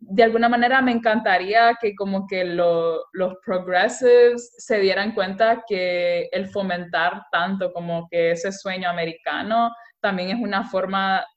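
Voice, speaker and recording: A young woman with a Honduran Spanish accent, talking in a chatty, animated way and often checking that she is understood. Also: medium pitch, a little slow, hardly any pauses, dull audio. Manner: chatty, animated, frequent "me entendés" tags. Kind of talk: casual conversation on social issues.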